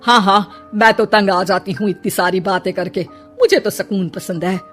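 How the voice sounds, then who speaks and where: A woman's voice: mid-range voice, steady tone, normal speed, natural voice. Woman playing jealous elderly mother-in-law, haughty, emphatic, boastful, audio story, faint music underneath. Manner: loud, emphatic, showy.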